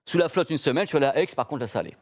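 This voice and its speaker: A man who is the tech columnist on a live stream, chatting and joking in an excitable way. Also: a little high, very fast, no room sound, clean studio sound. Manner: excitable, jokey storyteller. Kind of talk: casual chat on a live stream.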